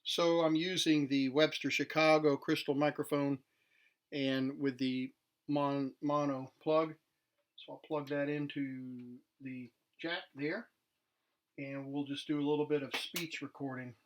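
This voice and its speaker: Man, slightly high voice, casual unscripted demonstration, plain explanatory delivery, somewhat hesitant. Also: fairly even intonation, a little slow. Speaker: vintage tech demonstrator.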